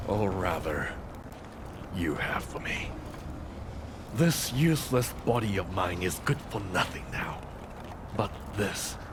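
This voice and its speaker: A gruff, battle-worn samurai for a game cutscene. His very low voice moves slowly and swings widely in pitch, blunt and world-weary, over clear background noise. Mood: grave, spent. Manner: blunt, world-weary, sighing, sprinkles in Japanese words. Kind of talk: video game cutscene voice acting.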